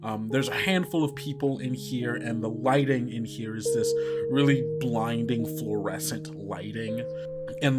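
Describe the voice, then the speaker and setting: A young man narrating a tabletop game as game master, describing scenes with many fillers and likes. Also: mid-range voice. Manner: rambling descriptions full of likes and uhs.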